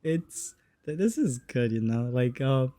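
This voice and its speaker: A young man reacts to a game in a mellow, relaxed voice, recorded very dry. His talk is informal and halting, with curses dropped in casually. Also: medium pitch, dry recording. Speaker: gaming video creator. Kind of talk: casual gameplay reaction commentary.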